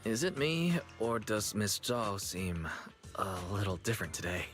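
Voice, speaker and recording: A man voicing a calm, perceptive young male character in a video game, with a mild, measured manner. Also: on the low side, over music. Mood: puzzled.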